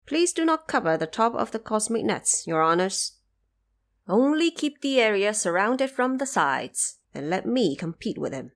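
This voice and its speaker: A woman's voice: mid-range voice, very animated, normal speed. A woman voicing a proud, commanding young divine warrior lord in a mythological audio drama, speaking in a formal, declamatory, self-assured way. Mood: confident, formal.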